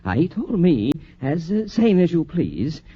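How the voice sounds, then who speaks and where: A muffled 1940s radio recording of a fussy physician. He scolds with hesitant exclamations and widely swinging pitch, scornful of anyone who takes risks. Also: sing-song, a little slow, husky, exaggerated voice. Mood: skeptical explaining. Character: fussy, disapproving male French physician, scornful of risk-takers. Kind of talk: 1940s radio drama acting.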